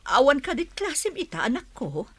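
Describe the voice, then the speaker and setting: Soft older ilocano mom, pious, lively sing-song voice. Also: female voice, sits high, a little fast, no room sound, exaggerated voice. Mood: consoling. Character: older village mother, gentle and pious. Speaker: mother. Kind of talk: radio drama acting.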